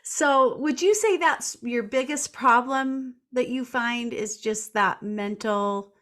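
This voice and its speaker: A woman hosting a podcast as a life coach, affirming and quick to agree with her guest. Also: a little high, fairly bright tone. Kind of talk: casual podcast interview.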